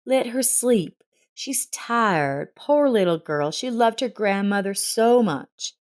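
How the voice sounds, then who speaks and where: A woman narrator plays a caring stepmother in a family story. She speaks tenderly and affectionately, with the pitch raised above a normal narrating voice. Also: lively intonation, slow. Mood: tender, concerned.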